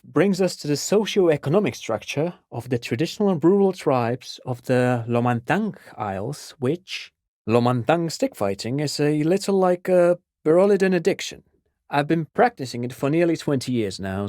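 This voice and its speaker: A man's voice: medium pitch, darkish tone, no room sound, studio quality, lightly acted. An actor playing a pedantic, absent-minded amateur scholar in a video game, an enthusiastic father who explains things eagerly and wanders into digressions. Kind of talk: video game character dialogue.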